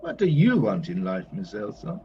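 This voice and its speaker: A man's voice: fairly low pitch, huge pitch swings, moderate pace, vocal fry, a little mellow, heavily acted. An actor playing a whimsical, courtly male painter in an audio play, moody yet carefree, with a theatrical, lyrical way of talking. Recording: dull, slightly noisy recording.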